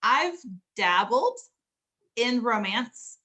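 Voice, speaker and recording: A middle-aged woman, a fantasy author, chatting casually about writing, laughing often and filling pauses with ums. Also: fairly high pitch, lively intonation, pushed and bright.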